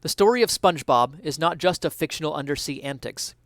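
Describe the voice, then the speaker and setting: A man reading an essay aloud as a dramatic reading, with earnest, theatrical, deliberate phrasing, recorded close to the mic. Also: a little high, a bit bright, no echo. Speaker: reader of an essay.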